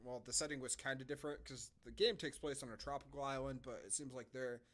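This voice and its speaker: A man's voice: medium pitch, fast talker, a bit bright. A man co-hosting a podcast, casually reviewing a film with sarcastic asides and frequent swearing. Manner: sarcastic, profane. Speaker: podcast co-host.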